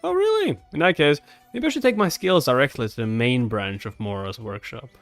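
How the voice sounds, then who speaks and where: A man's voice: mid-range voice, sing-song, a little fast, fairly bright tone, dry recording, soft background music. A man voicing a cocky, scheming young genius player from a comic, brash, teasing and emphatic. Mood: smug, teasing.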